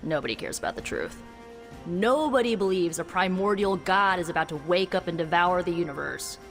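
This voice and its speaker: A woman's voice: lowish voice, lively intonation, fairly quick. A woman playing a sardonic young hero in a video game cutscene, blunt, wry and exasperated. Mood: bitter, exasperated. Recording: long reverb, faint music.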